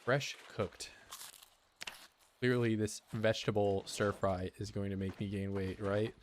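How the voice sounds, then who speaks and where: A man's voice: fairly low pitch, fairly even intonation, a little slow, slight background noise. A young man streaming a survival game, rambling and joking with his chat in a casual way. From United States. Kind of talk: casual gameplay commentary.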